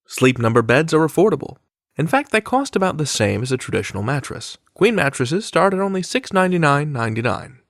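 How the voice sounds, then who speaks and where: A man's voice: mid-range voice, dry recording, clean studio sound. A man delivering scripted podcast advertisements as an announcer, with a polished, persuasive sales delivery.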